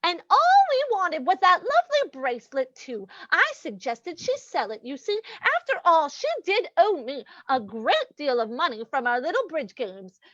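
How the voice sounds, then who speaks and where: A woman's voice: sits high, big pitch swings, moderate pace, hardly any pauses, fairly bright tone, dry recording, highs cut off. A woman playing a gloating, sweetly cruel lady murderess in an old-time radio mystery, cooing mock sympathy at her victim.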